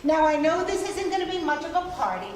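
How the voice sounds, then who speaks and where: An actress playing a prim, kindly, gently fussy woman in a live stage play. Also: extremely high pitch, very animated, quick pace. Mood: firm, apologetic. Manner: gently scolding, polite, fussy. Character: prim, kindly woman organizing a prison party. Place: stage of a theater, live performance. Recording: echoey hall, faint noise.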